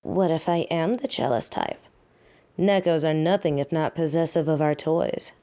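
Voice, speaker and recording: A woman playing a flirty, teasing catgirl thief in a roleplay audio drama, coy and seductive with playfully drawn-out words. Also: deep voice, dark tone. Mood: possessive, teasing.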